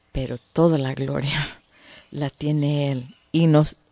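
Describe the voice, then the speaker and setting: A woman with a Mexican Spanish accent preaching earnestly to a women's group, with frequent fillers and the odd throat-clear. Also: sits low, darkish tone, dry recording, dull audio. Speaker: women's ministry speaker.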